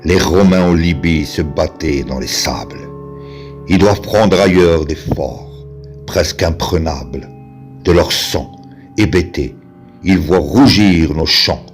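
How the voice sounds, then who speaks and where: A man solemnly reciting poetry in a measured, declamatory way with dramatic pauses. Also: extremely low pitch. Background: music.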